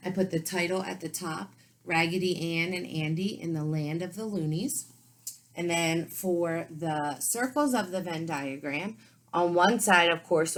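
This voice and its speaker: A woman teacher giving lesson instructions to young children in an informal, explanatory way. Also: on the low side. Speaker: second grade teacher.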